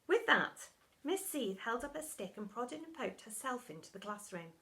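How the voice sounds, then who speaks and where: A woman's voice: on the high side, big pitch swings, moderate pace, pushed and bright. A woman narrating a children's story in a dramatic storytelling style, as for primary school pupils.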